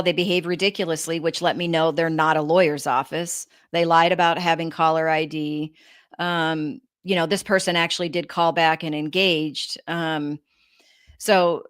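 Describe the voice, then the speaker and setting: A woman giving an informal explanatory presentation, reading out reports and commenting in a halting, think-aloud way. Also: on the low side, fairly bright tone, dry recording, clean studio sound. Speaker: presenter of a scam awareness talk for elderly people.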